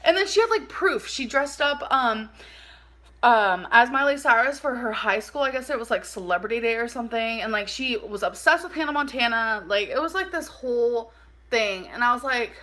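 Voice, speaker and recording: A woman with a fairly high, very bright and forward voice tells a personal story in a casual vlog style. She is animated and informal, peppering her sentences with "like" and slipping into quoted dialogue as she goes. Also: moderate pace. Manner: animated, informal, acts out dialogue, heavy use of "like". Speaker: vlogger telling a personal story.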